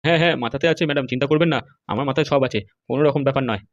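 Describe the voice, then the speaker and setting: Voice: mid-range voice, rapid-fire, no echo, muffled recording, lightly acted. A performer voicing a fawning, gossipy sidekick in a cartoon comedy, eager and deferential. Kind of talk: amateur cartoon comedy dialogue.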